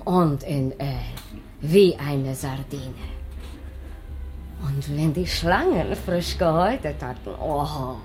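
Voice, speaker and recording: A woman's voice: low-pitched, animated, takes it slowly, a little mellow. Gossipy circus woman, swooping intonation. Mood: wistful, gossipy. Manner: gossipy, broken German. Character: gossipy middle-aged former circus snake dancer, theatrical and nosy. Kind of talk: radio play acting.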